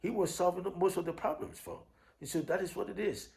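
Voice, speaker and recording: A man's voice: high voice, creaky, darkish tone. A man with a Ghanaian accent giving an unscripted, combative opinion rant, repeating himself and often restarting his phrases. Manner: combative, repetitive, halting with restarts. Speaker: online commentator.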